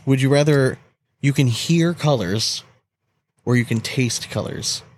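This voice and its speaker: A man co-hosting a podcast, chatting informally and excitably. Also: medium pitch, measured pace. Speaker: podcast co-host. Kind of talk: casual chat, posing questions.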